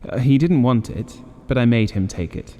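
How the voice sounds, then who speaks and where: A man acts out a gentle old husband and father for an audiobook. He is curious and credulous, earnest yet a little hesitant. A mellow voice carries through long, hall-like reverb. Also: mid-range voice, animated, normal speed, close to an everyday voice. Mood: sheepish, hesitant. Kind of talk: audiobook character voice.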